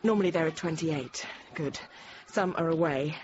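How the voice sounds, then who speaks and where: An actress playing a frightened young nursery teacher, narrating in a halting, intimate, often hushed way. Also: female voice, low voice, close to an everyday voice. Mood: anxious. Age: 30s. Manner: halting, fragmented, often dropping to a hush. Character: frightened young Parisian nursery teacher recounting a siege, controlled. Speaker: radio drama actor, narrator. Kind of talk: intimate first-person dramatic monologue. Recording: dull, noisy radio drama recording.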